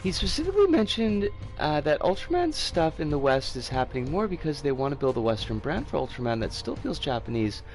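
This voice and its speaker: A man chats through a game stream in a hoarse, gravelly voice with plenty of creak. His pitch swings widely as he gives blunt, sweary opinions in a casual way. Faint music plays behind him. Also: very animated, brisk, hardly any pauses. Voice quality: scratchy. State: hoarse, voice strained by an oncoming cold. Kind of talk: casual live game commentary and chat.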